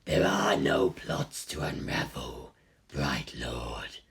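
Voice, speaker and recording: A narrator voicing sinister, bored phantom voices inside a boy's head, with a low whispery sound. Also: lively intonation, slow pace, vocal fry, fairly bright tone, breathy edge, full character voice.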